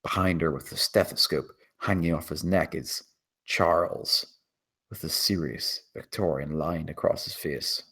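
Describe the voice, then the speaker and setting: A man's voice: very deep voice, measured pace, a bit bright, slightly rough. A man reading scripted screenplay action as the narrator.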